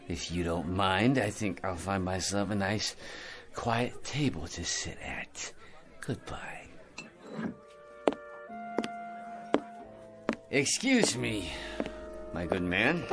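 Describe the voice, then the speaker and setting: An actor in a radio drama playing a talkative, jobless male barfly, pompous yet insecure, with a stammering, rambling delivery. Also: deep voice. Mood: affected politeness.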